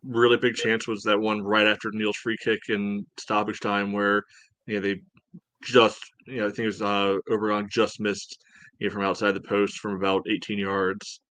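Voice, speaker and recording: A man co-hosting a soccer podcast, giving casual analysis in a measured, halting, conversational way. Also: lowish voice, little pitch movement, fairly bright tone, dry recording. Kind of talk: casual sports analysis chat.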